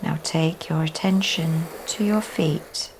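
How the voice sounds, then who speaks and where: A woman teaching yoga leads a guided relaxation meditation in a soft, often breathy delivery with long pauses. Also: low-pitched, fairly even intonation, poor audio. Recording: faint background noise.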